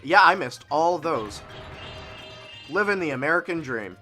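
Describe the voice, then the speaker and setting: A man talks through a video game session in a bright, forceful voice, with faint game music underneath. He sounds a little sniffly and chats loosely, now and then bursting into excited shouts before dropping back into low asides. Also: measured pace. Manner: chatty and self-deprecating, jumps between excited shouts and mumbles. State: sniffly nose. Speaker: let's play host. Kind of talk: casual gameplay commentary.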